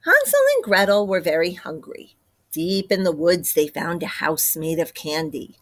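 Middle-aged woman, teacher reading aloud, expressive storytelling, animated pitch, dry sound. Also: normal speed, no room sound. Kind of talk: expressive picture-book storytelling. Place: classroom, indoors.

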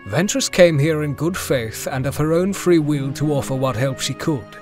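A man playing a principled, diplomatic Jedi master in an audio drama, polite and measured. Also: on the high side, natural voice. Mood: firm, polite. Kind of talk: fan audio drama acting. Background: music.

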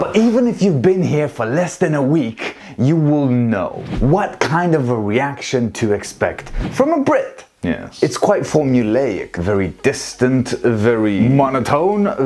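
A young man with a Romanian accent talks to camera in a comedy vlog. His pitch swings up and down as he jokes, playful and sarcastic. He is close on a lapel mic in a dim room at home. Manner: animated, playful, sarcastic asides. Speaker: vlogger.

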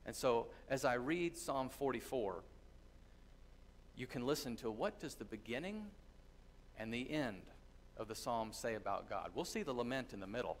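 A middle-aged man giving a sermon from a church pulpit, teaching earnestly with thoughtful pauses and reading scripture aloud. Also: medium pitch, expressive, slight background noise. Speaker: pastor. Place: church sanctuary with congregation. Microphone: distant camera, lectern mic.

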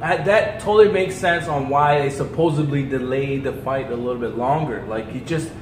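A young man co-hosting a casual chat, talking in an energetic, chatty way. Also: medium pitch, normal speed. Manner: chatty and energetic, gestures a lot. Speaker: co-host. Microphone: camera a few feet away. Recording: echoey room with faint noise.

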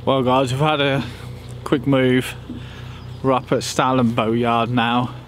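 A man's voice: mid-range voice, level tone, takes it slowly. A young man talking casually to camera outdoors as an angling vlogger. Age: young adult. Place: outdoors by a river boatyard. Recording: clearly noisy outdoor ambience.

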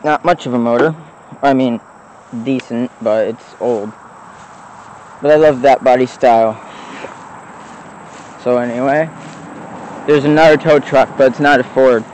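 A man's voice: mid-range voice, a little slow, pauses often, darkish tone, rough recording. Man, casual rambling vlog narration, outdoors, handheld phone mic, faint traffic noise behind. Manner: offhand, rambling, enthusiastic about old trucks. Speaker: vlogger exploring abandoned vehicles. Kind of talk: casual walk-around narration. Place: outdoors in an overgrown lot beside a road. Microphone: handheld phone camera. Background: faint road traffic.

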